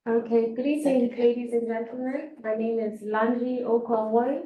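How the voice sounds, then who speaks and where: A woman's voice: on the high side, steady tone, moderate pace, lo-fi sound. A woman from Kenya introducing herself and her work as a guest speaker in a presentation to an audience. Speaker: guest speaker, legal and human rights advisor. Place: indoor event space. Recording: echoey room with faint noise.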